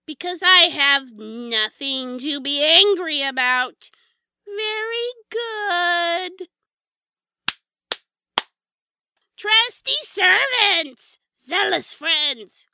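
A woman's voice: sits high, sharp tone, dry recording, full character voice. A woman playing a menacing, spiteful old witch in a fairy-tale audio drama, domineering and theatrical. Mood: grudging, irritated. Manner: theatrical, drawn-out, domineering delivery.

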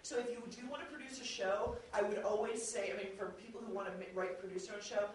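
A woman's voice: fairly high pitch, fairly even intonation, fast talker. A woman speaking conversationally on a panel, giving informal advice with a self-deprecating touch, recorded from a distance. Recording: echoey, distant, noisy convention room.

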